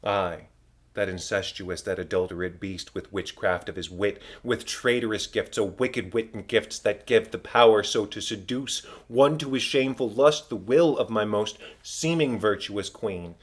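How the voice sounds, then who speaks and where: A man voicing the ghost of a murdered king, a solemn, tormented, vengeful father declaiming in drawn-out phrases in a Shakespeare audiobook. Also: lowish voice, natural voice. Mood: bitter, grieving. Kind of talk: dramatic Shakespeare audiobook reading.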